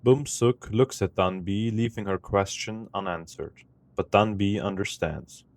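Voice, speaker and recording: A man narrating scenes of an audio drama in a steady way. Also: fairly low pitch, measured pace, no echo. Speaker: second narrator. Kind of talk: scripted narration.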